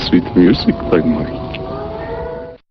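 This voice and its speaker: Slow, swooping, sinister vampire count. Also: male voice, very high voice, wildly swooping pitch, over music, full character voice. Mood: eerie relish. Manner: slow, theatrical, savouring each word. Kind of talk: old horror film acting. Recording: dull, very noisy old film clip.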